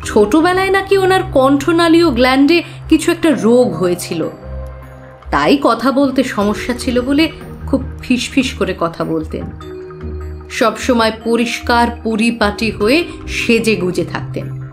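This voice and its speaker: Young female client, audio drama: high voice, earnest, trusting, troubled storyteller over music. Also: animated, a little slow. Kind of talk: Bengali audio drama, recounting events.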